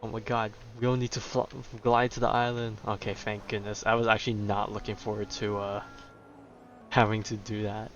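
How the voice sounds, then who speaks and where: A man talks casually over a game in an offhand, wry way. His voice sits at an average pitch and comes through a dull, lo-fi microphone, with faint game music behind. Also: flat delivery, normal speed. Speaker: game streamer. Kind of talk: casual gameplay commentary.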